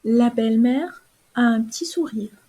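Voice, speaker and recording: A woman narrating a children's fairy tale for a cartoon in a calm, clear storytelling manner. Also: fairly high pitch, unhurried.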